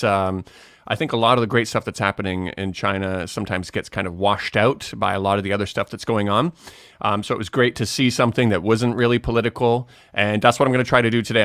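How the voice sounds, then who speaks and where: A man's voice: on the low side, a bit bright, dry recording, clean studio sound. A Canadian man with a mild accent, hosting a casual video chat and rambling with many fillers and restarts. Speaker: host.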